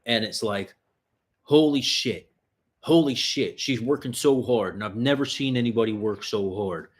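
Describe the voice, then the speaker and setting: A man's voice: medium pitch, normal speed. A man from the US tri-state area, hosting a casual livestream Q&A in a loose, profane, rambling way with lots of fillers and restarts. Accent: New York area. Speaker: livestream host answering viewer questions.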